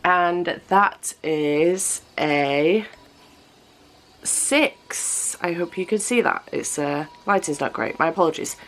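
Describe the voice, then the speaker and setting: A woman's voice: on the low side, takes it slowly, a bit bright. A young woman with a cold and a stuffy nose, chatting to camera as a book vlogger, repeating words for emphasis. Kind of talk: casual chat to camera. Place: home room with building work nearby.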